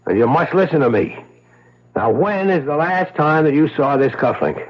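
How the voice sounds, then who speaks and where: A husky, concerned husband in an old radio drama. His pitch swings widely as he reasons earnestly, repeating phrases. The sound is dull and lo-fi. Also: expressive, moderate pace. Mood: insistent, reasoning. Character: concerned, rational husband soothing his wife. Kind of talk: 1970s radio drama acting. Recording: muffled old radio broadcast.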